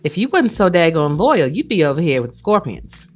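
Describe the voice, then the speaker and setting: A woman hosting a casual chat show, talkative, informal and expressive. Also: on the low side, fairly quick. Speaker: show host. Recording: background music under the voice.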